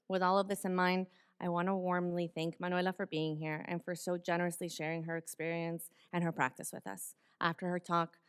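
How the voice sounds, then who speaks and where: A woman hosting an event reads a prepared formal introduction in a measured, articulate way, phrase by phrase. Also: fairly low pitch, clear, resonant tone. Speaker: event host introducing a speaker.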